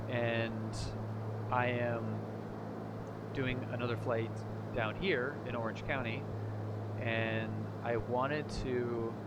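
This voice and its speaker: Halting man streaming over loud engine drone. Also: mid-range voice. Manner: halting, thinking aloud. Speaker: flight simulator streamer. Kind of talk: casual live stream chat while flying a simulator. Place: indoors, live streaming at a computer. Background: loud simulated aircraft engine noise.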